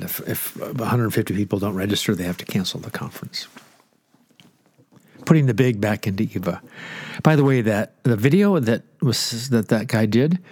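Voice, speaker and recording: A man's voice: mid-range voice, dry recording, studio quality. A congested, stuffy-nosed man hosting a radio show as a pastor, rambling and joking at his own expense. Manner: rambling, joking, self-deprecating. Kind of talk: casual off-the-cuff radio chat.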